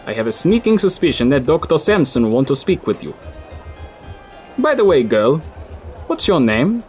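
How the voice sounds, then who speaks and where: A man's voice: a little high, very animated, normal speed, darkish tone, faint music behind. A man playing a chatty, folksy local man. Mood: friendly. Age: 30s. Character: friendly male city dweller, folksy and helpful. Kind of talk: audio drama acting.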